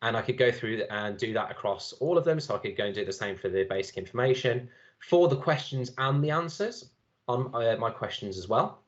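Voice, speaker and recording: A man giving a relaxed, chatty software demo at a conference, with many fillers and restarts. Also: medium pitch, dull audio. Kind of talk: live screen-share demo talk.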